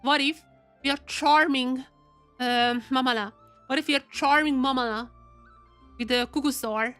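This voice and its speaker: A woman game streamer with a very bright, fairly high voice, slightly worn by a cold. She chats casually to her viewers as she plays, repeating phrases while she thinks aloud. Game music plays behind her. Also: steady tone, moderate pace. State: getting over a cold, voice wearing out. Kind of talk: casual live gameplay commentary to chat.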